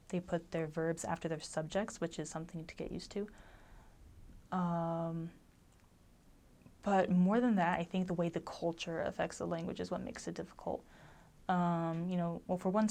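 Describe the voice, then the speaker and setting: A woman's voice: on the low side, darkish tone. A young woman giving casual advice about living abroad, rambling with lots of ums and likes. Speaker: former resident giving advice.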